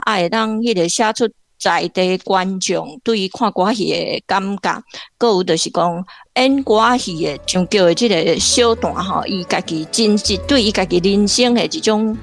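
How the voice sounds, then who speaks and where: Creaky-voiced Taiwanese woman giving commentary. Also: medium pitch, no room sound. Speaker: guest literature professor. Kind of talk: informal literary commentary.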